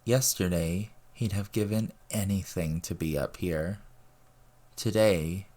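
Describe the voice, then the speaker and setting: A man's voice: deep voice, slow pace, mellow. A man reading a story aloud as narrator, in scripted audiobook style. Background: music and ambience.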